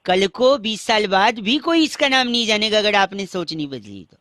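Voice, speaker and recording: A cheeky young prank caller, played by a man, ribs strangers with puns and mock seriousness. The voice sits at an ordinary pitch and sounds dry and close to the mic. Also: sing-song, normal speed, bright, forceful tone, no echo, full character voice. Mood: needling. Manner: teasing, playful, wordplay jokes. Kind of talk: radio prank call.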